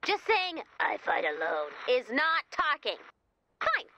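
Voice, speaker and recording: Very high, chirpy robot helper. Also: female voice, wildly swooping pitch, slow, sharp tone, full character voice. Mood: eager, persuasive. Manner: quippy, playful, rambling asides. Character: chirpy, wisecracking female robot helper, eager and sarcastic. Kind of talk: scripted video game character dialogue.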